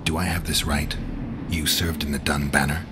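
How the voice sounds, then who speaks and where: An actor playing a stoic, terse monster hunter in a video game, speaking in a blunt, deadpan way. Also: male voice, extremely low pitch, moderate pace, very noisy. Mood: stoic, serious. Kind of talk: dramatic video game acting.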